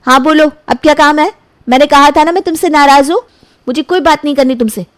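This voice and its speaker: Woman as young girlfriend, high voice, sulky, suspicious, accusing, storybook drama, dry sound. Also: brisk, no echo, close to an everyday voice. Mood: sulky, sarcastic. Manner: petulant, accusing. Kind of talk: animated storybook dialogue.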